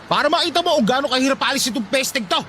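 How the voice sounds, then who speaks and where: A man's voice: extremely high pitch, expressive, rapid-fire, sharp tone, a little hiss or hum, exaggerated voice. A Filipino man playing an irritable, callous shopkeeper husband in a radio drama, scolding and defensive. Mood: indignant, defensive. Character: irritable, callous husband running a hardware shop.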